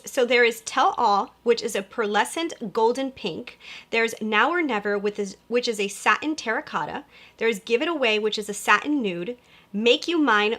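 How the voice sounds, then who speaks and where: A woman's voice: a little high, fairly bright tone. A young woman hosting an upbeat makeup review vlog, chatty and gushing, her voice a little nasal from a cold. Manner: chatty and gushing, lots of "you guys". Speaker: beauty vlogger.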